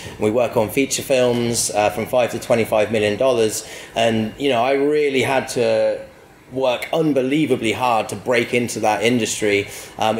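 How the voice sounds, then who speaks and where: A middle-aged man giving an informal public presentation as a company founder. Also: medium pitch. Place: stage at an indoor event venue. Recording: echoey live venue, faint noise.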